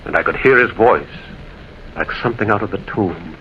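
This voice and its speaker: An actor playing a concerned railwayman in an old radio drama, telling a ghostly encounter in a dramatic, deliberate, urgent way. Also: on the low side, lightly acted. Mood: awed, grave. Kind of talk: 1940s radio acting, dramatic first-person narration. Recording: dull, hissy old radio recording.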